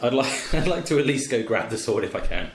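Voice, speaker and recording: A man's voice: mid-range voice, very animated, quick pace. A man chatting casually as a player in a tabletop role-playing game, with dry, understated humour. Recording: echoey room, faint background noise.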